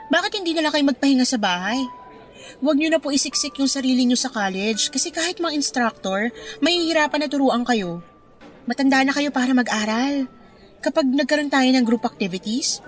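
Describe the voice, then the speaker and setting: A woman playing a curt, resentful young college student in a radio drama, with cold, blunt politeness. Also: sits high. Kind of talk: radio drama acting in Filipino. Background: music bed.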